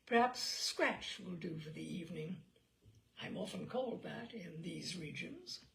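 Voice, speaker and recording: A woman voicing a suave, courteous and menacing devil in gentleman's form for a storybook reading. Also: lowish voice, animated, unhurried, gravelly, slight background noise, exaggerated voice. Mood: polite, careless. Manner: courteous, careless, formal. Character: suave, polite devilish stranger, dark, smug and menacing.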